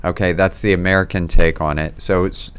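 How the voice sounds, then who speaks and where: Deep-voiced priest chatting about food. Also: male voice, flat delivery, a little fast, a bit bright, clean tone. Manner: opinionated, explains food with relish. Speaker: priest and podcast host. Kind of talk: casual chat while tasting food.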